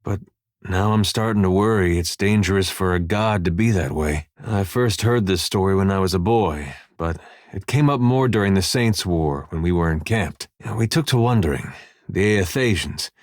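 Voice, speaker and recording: An actor playing an easygoing, loyal farmer turned soldier in a video game, with laid-back folksy phrasing and dry humour. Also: male voice, low voice, moderate pace, no room sound, close to an everyday voice. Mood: thoughtful storytelling. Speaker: video game companion character. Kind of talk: game dialogue and battle barks.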